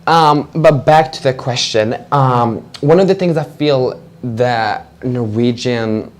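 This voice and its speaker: A young adult man from Georgia, speaking English as an as a guest on a live panel, candid and animated with many fillers. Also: medium pitch, takes it slowly. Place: event room with audience.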